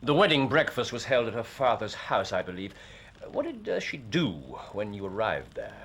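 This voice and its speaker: An actor playing a shrewd, imperious consulting detective with an English accent, questioning formally in a period drama. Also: male voice, medium pitch, sing-song, normal speed, a bit bright. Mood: probing, measured. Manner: incisive, theatrical, formal questioning.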